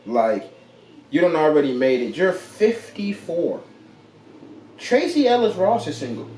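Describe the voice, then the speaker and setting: A young man in his late twenties with a voice pitched a bit high, co-hosting a casual podcast. He talks loud and animated, joking in slang. He is recorded in an echoey room. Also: a little slow, a little hiss or hum. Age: 20s. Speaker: podcast co-host. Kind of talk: casual chat.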